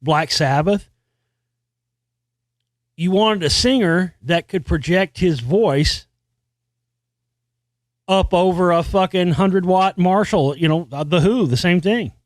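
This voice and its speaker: A man's voice: takes it slowly, long pauses, no room sound. A man hosting a podcast alone, talking in a loose, rambling way with restarts and casual swearing. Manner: experienced singer with a high singing voice. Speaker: podcast host. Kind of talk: casual solo monologue about music.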